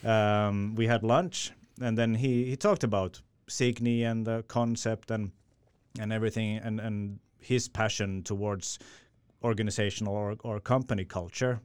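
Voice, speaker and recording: Smooth-voiced man. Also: fairly low pitch, steady tone, a little slow, darkish tone, no echo. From Finland. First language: Swedish. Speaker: sales director. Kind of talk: podcast interview answer.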